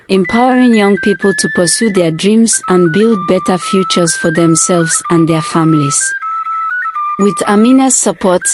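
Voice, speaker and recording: Woman reading out a folktale, measured formal storytelling, medium pitch, music playing behind her. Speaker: storyteller, narrator. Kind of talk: scripted folktale narration. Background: background music.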